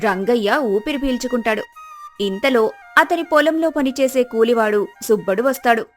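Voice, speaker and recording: Higher-pitched woman narrating a story over music. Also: moderate pace.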